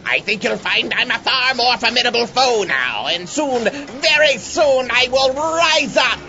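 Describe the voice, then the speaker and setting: Creaky, melodramatic rival mad scientist. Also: male voice, very high voice, lively intonation, a little slow, sharp tone, faint music behind, exaggerated voice. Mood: blustering menace. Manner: melodramatic, sneering, blustering. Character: resentful, petty male rival mad scientist, easily flustered. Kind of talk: comic audio drama villain acting. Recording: dull lo-fi recording, clear noise.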